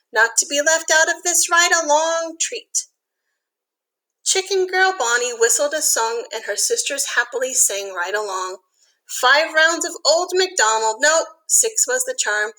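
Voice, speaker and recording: A woman with a high, bright voice reads a picture book to young children. Her delivery is expressive and sing-song, and she speaks to her small listeners in the chatty way of an elementary school teacher. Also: poor audio.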